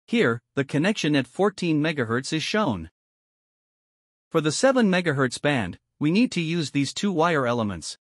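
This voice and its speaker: A man's voice: fairly high pitch, big pitch swings, moderate pace, no echo, studio quality. A man reading scripted instructional narration as a voice-over for a product demonstration. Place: close, dry indoor voice-over recording.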